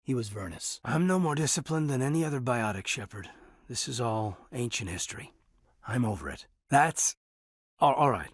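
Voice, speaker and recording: A male actor playing an earnest, guarded military officer in a science fiction video game, slightly husky and given to hesitant phrasing. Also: medium pitch, lively intonation, moderate pace, dry recording, studio quality. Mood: regretful, reflective, then guarded, resigned. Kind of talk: naturalistic dramatic game dialogue.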